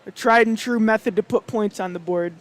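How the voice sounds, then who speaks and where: High-voiced enthusiastic color commentator. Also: male voice, brisk. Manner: enthusiastic, chatty. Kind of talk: casual sports color commentary.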